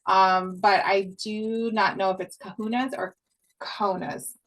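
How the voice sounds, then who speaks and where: A woman's voice: medium pitch, steady tone, a little slow, fairly bright tone, rough recording. Upbeat chatty woman coaching podcasters. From Hawaii. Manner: chatty, upbeat, swears casually, repeats points. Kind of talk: casual online course talk.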